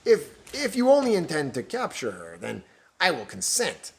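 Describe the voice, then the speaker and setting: A man's voice: on the high side, wildly swooping pitch, moderate pace, creaky, pitched above the performer's own voice, heavily acted. A man doing a smug, scheming bishop. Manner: terse, smug, confident. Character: scheming male bishop in disguise, smug and heartless.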